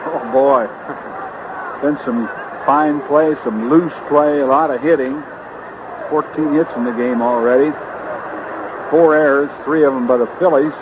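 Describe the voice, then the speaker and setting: A man's voice: mid-range voice, fairly even intonation, measured pace, long pauses, narrow, tinny audio, noisy background. A man giving conversational color commentary on a baseball game over a vintage radio broadcast.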